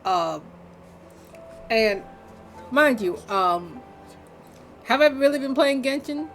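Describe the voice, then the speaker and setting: A woman's voice: sits high, lively intonation, slow, fairly bright tone, faint music behind. A woman livestreamer giving casual gameplay commentary in a dry, offhand, rambling way.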